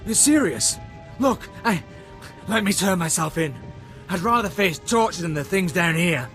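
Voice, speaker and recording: A man's voice: high voice, expressive, measured pace, a bit bright, faint music behind. An actor playing a desperate but principled male fugitive in a video game, speaking earnestly. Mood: pleading, fearful.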